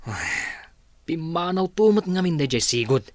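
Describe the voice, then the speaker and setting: Bossy young Ilocano company heir. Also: male voice, a little high, big pitch swings, normal speed, a little mellow, no echo, studio quality. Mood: worried. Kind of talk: Ilocano radio drama acting.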